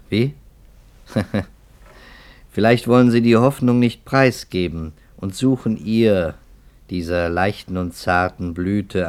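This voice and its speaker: Man doing a low-voiced investigator, calm and needling, old radio play. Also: close to an everyday voice. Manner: calm, measured, quietly challenging. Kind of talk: 1950s radio drama acting.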